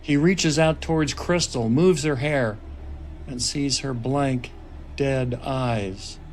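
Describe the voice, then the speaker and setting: A man's voice: mid-range voice, takes it slowly. Man narrating screenplay action, some noise. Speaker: stage-direction narrator. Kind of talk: scripted dramatic narration of a screenplay. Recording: noisy table-read room recording.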